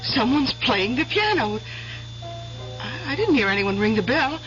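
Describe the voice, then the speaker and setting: An actress playing an anxious, tender middle-aged wife in an old-time radio drama, speaking hesitantly and repeating words. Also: female voice, high-pitched, big pitch swings, a little slow, fairly bright tone, soft background music, exaggerated voice. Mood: anxious, puzzled. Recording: dull lo-fi radio drama recording.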